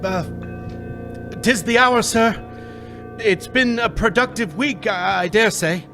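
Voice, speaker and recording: A man's voice: high-pitched, takes it slowly, bright, forceful tone, faint music behind, heavily acted. A man playing a timid, deferential clerk in a live radio play, hesitant and stammering.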